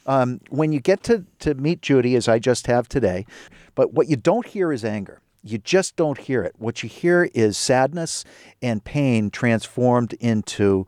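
A man's voice: mid-range voice, expressive, measured pace, a little mellow, no echo, clean studio sound. A man in his fifties hosting an interview, talking in an earnest, reflective way with many restarts. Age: 50s. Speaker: podcast host. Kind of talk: conversational interview.

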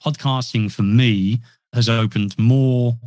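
A man's voice: mid-range voice, steady tone, takes it slowly, a little mellow, no room sound, clean studio sound. A man chatting as a podcast guest, rambling and self-deprecating with frequent restarts. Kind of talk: casual interview talk. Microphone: podcast mic.

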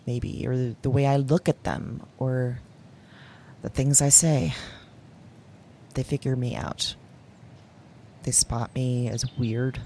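An actress playing a lonely, awkward office worker, confiding haltingly and candidly in an audio diary drama. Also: female voice, rumbling low, darkish tone, a little hiss or hum, exaggerated voice. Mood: resigned, lonely. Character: lonely, awkward woman office worker keeping a dream journal.

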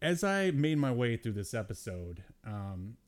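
A man's voice: mid-range voice, big pitch swings, a little slow, no echo. A man hosting a podcast, chatting casually in a joking, rambling, informal way. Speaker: podcast host. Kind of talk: casual pop-culture podcast banter.

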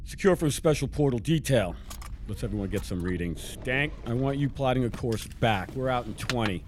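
An actor playing a steady male ship commander who gives clipped naval orders in an audio drama. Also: medium pitch, moderate pace, noisy background, lightly acted. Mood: commanding. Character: steady male commander of an exploratory ship's crew.

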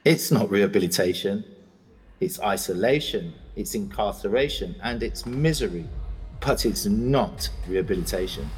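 A bitter, outspoken English prisoner speaks with a husky, low voice in an audio drama. His pitch swings widely and a long reverb hangs behind him. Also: expressive, normal speed. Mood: defiant. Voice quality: bitter, urgent.

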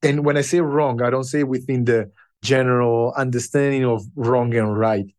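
A man who learned English later in life, a podcast guest telling his story in an unhurried, reflective way with pauses. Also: medium pitch, fairly even intonation, a little mellow, no echo, studio quality. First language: not English. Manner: unhurried and thoughtful, with pauses and fillers.

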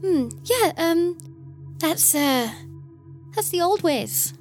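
Woman doing a Geordie cleaning lady, high voice, humming, folksy, faint music behind. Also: animated, a little mellow, exaggerated voice. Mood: chatty. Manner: humming, drawn-out "mm-hmm"s, cheerfully folksy.

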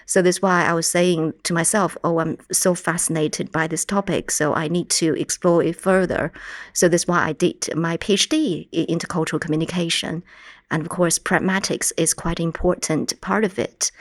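A woman with a Mandarin Chinese accent, a native Mandarin speaker from China, explaining her work in a measured way as a podcast guest. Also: on the low side, steady tone, no echo, studio quality. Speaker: guest linguistics researcher. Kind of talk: podcast interview.

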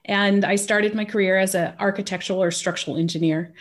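An American woman from California, a pricing consultant chatting as an interview guest. Her voice sits at an ordinary pitch, and she laughs often between points while telling her story. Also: fairly even intonation, a little fast. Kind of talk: casual interview conversation.

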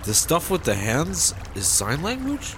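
An actor playing a casual, easily baffled and suspicious squad-car hero in a sci-fi comedy audio drama. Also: male voice, a little high, huge pitch swings, unhurried, noisy background. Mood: curious. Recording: music behind the voice.

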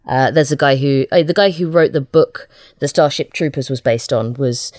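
A woman's voice: extremely low pitch, normal speed, a little mellow, no room sound, studio quality. A woman chatting casually as an interview guest, a writer with a hesitant, self-deprecating manner.

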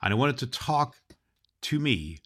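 A man's voice: medium pitch, very animated, moderate pace, a bit bright. A man playing a jolly, kindly old Santa Claus, reading and hosting for children with emphatic affection. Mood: reading a letter. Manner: emphatic, affectionate, addressing boys and girls. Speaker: storybook reader and show host.

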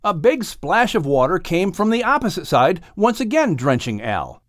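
Animated male storybook narrator. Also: sits high, moderate pace, no room sound, clean studio sound. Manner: dramatic, expressive storytelling. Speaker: audiobook narrator. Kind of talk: scripted children's story narration.

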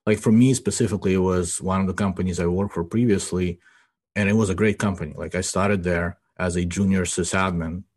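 A man's voice: sits low, steady tone, fairly quick, darkish tone, breathy edge. A man in his forties from Ukraine, a guest in a casual podcast interview, rambling and tagging his sentences with "you know" and "right?". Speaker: guest, IT business owner.

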